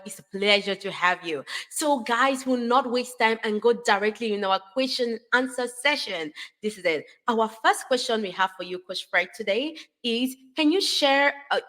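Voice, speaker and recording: A woman's voice: fairly high pitch, fairly bright tone. A woman hosting an interview podcast, effusive and repetitive as she addresses guest and listeners. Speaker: podcast host.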